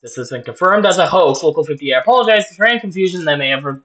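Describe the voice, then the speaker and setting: Animated, higher-voiced man reacting casually. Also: fast. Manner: informal, joking, swears. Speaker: reaction video host. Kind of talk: casual reaction commentary, reading on-screen text aloud.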